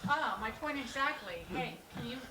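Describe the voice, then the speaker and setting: Woman acting a fretful protective sister, high, echoey voice. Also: moderate pace, natural voice. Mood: tender, tired. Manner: fretful, coaxing, interrupting. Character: flustered, protective, exasperated adult sister. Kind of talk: staged script reading. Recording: roomy, distant, noisy stage pickup.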